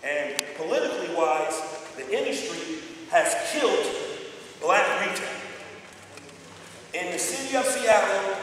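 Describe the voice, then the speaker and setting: A loud-voiced man in his forties from Northern California, giving an energetic talk that quizzes a live conference audience. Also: on the high side. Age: 40s. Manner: energetic, quizzes the crowd directly. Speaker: guest speaker. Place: large conference hall. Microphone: distant mic picking up the room. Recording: echoey hall from far off, faint hiss.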